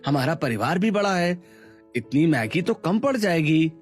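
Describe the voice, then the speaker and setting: A man's voice: a little high, sing-song, a little slow, soft background music. A man playing a practical, thrifty, resigned father of a poor family in a cartoon story. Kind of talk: Hindi cartoon story dialogue.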